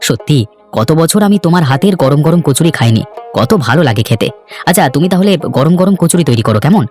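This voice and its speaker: A man's voice: fairly high pitch, lively intonation, fast, darkish tone, close to an everyday voice. A man voicing an earnest, plain-spoken young villager in a fairy-tale cartoon, with a slightly chiding manner. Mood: fond. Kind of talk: Bengali cartoon voice acting. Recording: background music under the voice.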